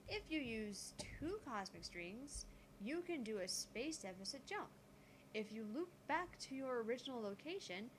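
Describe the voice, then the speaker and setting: A woman voicing a calm, gutsy teenage genius girl who lectures on physics in a visual novel. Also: on the high side, slight background noise, rough recording. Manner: explanatory, lecturing.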